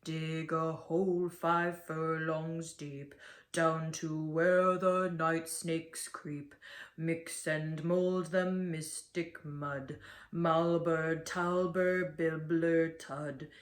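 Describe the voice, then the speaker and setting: A woman voicing a group of mysterious magicians in a low, slow, eerie chant. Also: flat delivery, reverberant.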